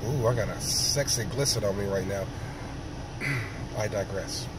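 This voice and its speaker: A man with a sore throat and a raspy, hoarse voice, talking slowly in a rambling casual monologue, often clearing his throat. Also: lowish voice, very noisy. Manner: rambling, slow, many ums and throat clearing. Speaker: vlogger.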